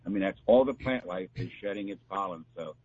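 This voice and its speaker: A man talking as a doctor giving health advice, explaining in a halting, repetitive, conversational way. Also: low-pitched, darkish tone, slightly rough. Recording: dull, noisy line with hum.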